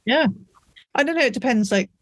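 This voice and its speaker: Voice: high-pitched, lively intonation, brisk, dry recording. An author, a guest talking in a thoughtful, reflective way with wry asides. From United Kingdom. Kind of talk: casual interview conversation.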